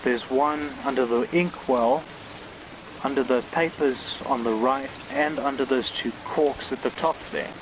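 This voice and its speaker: A man's voice: mid-range voice, unhurried, background noise. A man giving casual playthrough commentary on a game, musing aloud informally with mild exclamations. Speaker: game reviewer playing on camera.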